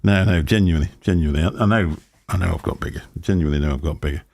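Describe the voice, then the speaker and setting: A man's voice: rapid-fire, a little mellow. A man, hosting a casual live stream and rambling from topic to topic into a desk microphone. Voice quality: deep booming voice. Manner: rambling, chatty, chuckling to himself. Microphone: desk microphone through a mixer.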